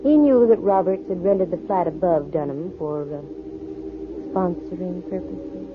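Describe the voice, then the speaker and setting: A woman's voice: lowish voice, measured pace, noisy background, close to an everyday voice. An actress playing a dry, wisecracking female private investigator in a 1940s radio drama. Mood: explaining. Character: wry, self-possessed female private investigator. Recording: muffled, thin 1940s radio recording.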